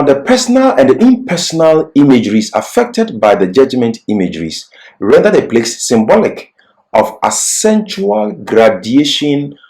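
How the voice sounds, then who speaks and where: A man's voice: mid-range voice, darkish tone. A man presenting a Bible lecture, reading prepared points and explaining them emphatically, repeating key phrases. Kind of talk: scripted religious lecture with explanatory asides.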